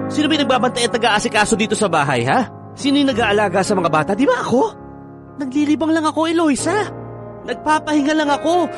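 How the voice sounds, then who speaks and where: An actor with a Filipino accent playing a defensive, easygoing husband in a radio drama. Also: male voice, extremely high pitch. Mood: defensive, annoyed. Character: defensive, easygoing husband, former ship worker. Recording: dry studio with music underneath.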